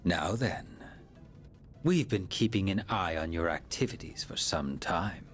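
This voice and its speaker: An actor voicing an eerily polite, menacing villain in a video game, theatrical and drawn-out. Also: male voice, low voice, animated, fairly bright tone, faint music behind. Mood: calm menace.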